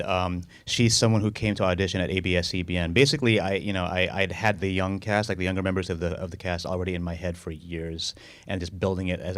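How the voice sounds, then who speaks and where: A man's voice: low voice, fairly bright tone. A man answering audience questions as a film director, casual and rambling, often restarting and repeating words. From United States.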